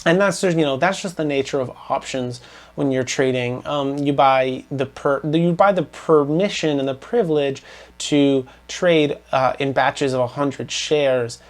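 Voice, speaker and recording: A man's voice: mid-range voice, a little slow. Scratchy-voiced young man vlogging. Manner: rambling, filler-heavy, earnest. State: slightly scratchy voice. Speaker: finance vlogger. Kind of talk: casual portfolio update to camera.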